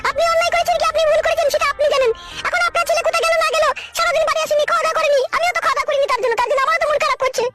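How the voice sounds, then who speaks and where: A woman's voice: very high voice, steady tone, very fast, few pauses, pushed and bright, faint music behind. A woman voicing a plaintive, naive young daughter-in-law in a comic cartoon dub, whining.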